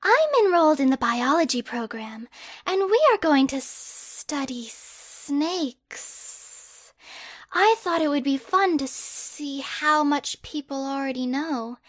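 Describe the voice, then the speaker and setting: A woman voicing a soft-spoken, quietly menacing boy student who talks slowly in a hushed, breathy way. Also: high-pitched, no room sound.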